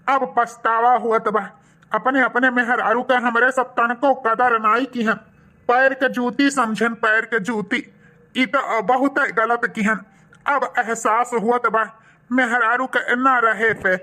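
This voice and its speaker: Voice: medium pitch, steady tone, slow, pushed and bright. A voice actor playing a remorseful village husband and son in a cartoon, earnest and humbly pleading, with an Awadhi accent.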